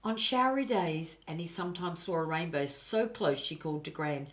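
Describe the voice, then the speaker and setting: Low-voiced older woman reading a storybook. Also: lively intonation, moderate pace. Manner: grandmotherly, addresses listeners and points out pictures. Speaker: storybook reader. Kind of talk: expressive children's storybook narration.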